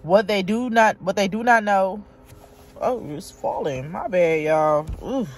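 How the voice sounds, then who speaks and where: A woman vlogging from inside her car, reading aloud haltingly and chatting straight to her viewers. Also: medium pitch. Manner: halting read-aloud, stumbles and restarts; chatty, talks directly to viewers. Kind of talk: casual vlog, reading aloud.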